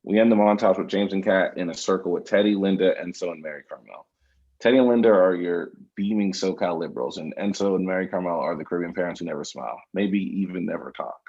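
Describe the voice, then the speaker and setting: Low-voiced man narrating, dull sound. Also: quick pace, darkish tone. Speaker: narrator reading stage directions. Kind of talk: scripted narration.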